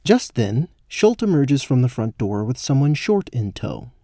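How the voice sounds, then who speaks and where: A man's voice: mid-range voice, sing-song, measured pace, mellow, dry recording. A man giving scripted narration for a fantasy tabletop-style audio story. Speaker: narrator, game master.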